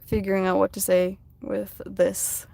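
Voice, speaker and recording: Soft-spoken woman chatting on a stream. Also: medium pitch, monotone, unhurried, vocal fry. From United States. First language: Russian. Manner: soft-spoken, chatty, reads viewer comments aloud. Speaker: live streamer. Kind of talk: casual live-stream chat while drawing. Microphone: phone.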